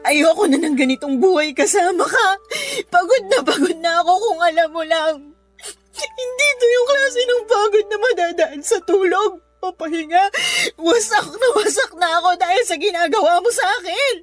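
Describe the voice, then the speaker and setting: Very high-voiced, fed-up young mother. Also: animated, normal speed, soft background music. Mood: hurt, exhausted. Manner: sharp, sarcastic, emotional. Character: overworked, fed-up young mother confronting her cheating partner. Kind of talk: Filipino radio drama acting.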